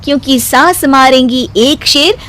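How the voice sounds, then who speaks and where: A woman playing a high-voiced, feisty daughter-in-law. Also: a little slow, fairly bright tone. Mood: defiant, theatrical. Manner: pointed, complaining. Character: feisty, exasperated young daughter-in-law turned rhymer. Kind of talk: cartoon comedy story acting.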